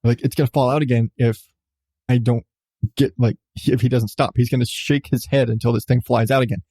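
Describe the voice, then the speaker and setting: A man's voice: mid-range voice, fairly even intonation, a little fast, warm tone, husky, no echo. A man in his thirties, a podcast host telling a personal story casually, with swearing and emphatic repetition. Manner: profane, emphatic, repeats phrases for effect.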